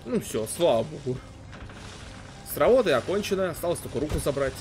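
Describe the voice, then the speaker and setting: Animated young man reacting casually. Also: fairly high pitch, fairly quick, faint music behind. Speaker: reaction streamer. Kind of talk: casual live reaction commentary.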